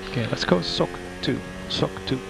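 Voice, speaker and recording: A man's voice: mid-range voice, normal speed, creaky, over music. A male race engineer giving clipped, matter-of-fact instructions to a driver over team radio, repeating key phrases. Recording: noisy team radio with car sounds.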